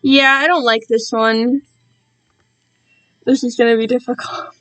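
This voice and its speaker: A fairly high-voiced woman talks at an unhurried pace, as if live to her viewers. She rambles casually, gets sidetracked and puts herself down. The sound is dry, with little room around the voice. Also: steady tone, a bit bright, dry recording, rough recording. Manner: rambling, self-deprecating, easily distracted. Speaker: streamer reading own fan-written work to viewers. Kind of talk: casual live-stream chat.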